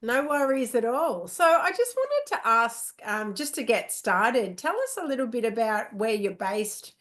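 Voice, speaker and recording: This woman hosts the podcast with a fairly high voice. She welcomes her guest and asks open questions in a friendly, upbeat way, with an "um" now and then. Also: very animated, unhurried, fairly bright tone. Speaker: podcast host. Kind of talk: podcast interview.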